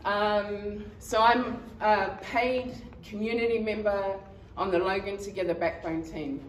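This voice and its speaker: A woman from New Zealand, a community member giving a conference presentation, speaking haltingly with many ums and nervous laughs. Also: mid-range voice, fairly even intonation. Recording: echoey room, mic at a distance, faint noise.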